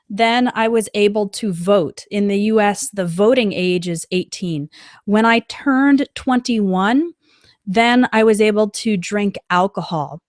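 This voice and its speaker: A woman teaching an English lesson on a live stream, reading out example sentences slowly and clearly as she answers a chat. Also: mid-range voice, dry recording, studio quality.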